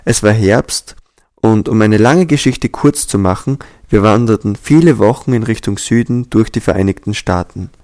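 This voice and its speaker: A man reading a translated nonfiction book aloud in a calm, measured, earnest way. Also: fairly low pitch. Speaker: translator and audiobook reader. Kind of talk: scripted audiobook reading.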